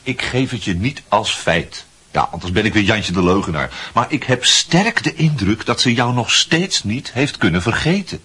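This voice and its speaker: Sly teasing young drinking pal, radio play, husky and sharp, swings around a lot. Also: male voice, on the low side, expressive, normal speed, few pauses, exaggerated voice. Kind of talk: Dutch radio drama acting.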